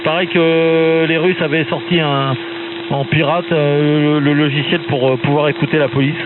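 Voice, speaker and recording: Male, opinionated slangy rambler on amateur radio; thin narrow-band signal buried in loud hum and noise. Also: a little high, level tone, moderate pace. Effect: amateur radio transmission. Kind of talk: casual radio chat. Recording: very noisy, phone-like ham radio signal, humming. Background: loud radio static and hum.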